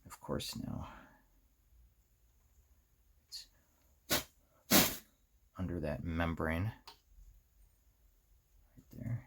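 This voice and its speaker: American male how-to host, low register, unscripted and rambling, half talking to himself as he works. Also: fairly even intonation, breathy edge. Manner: halting, rambling, talks to himself while working. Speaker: host of a hobby electronics how-to series. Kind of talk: casual unscripted hands-on tutorial, thinking aloud.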